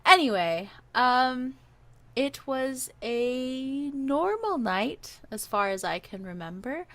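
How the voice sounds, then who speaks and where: A young woman from Hawaii co-hosting a podcast, telling a story in a lively, laughing way. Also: sits high, slow pace. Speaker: podcast co-host. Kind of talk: casual storytelling.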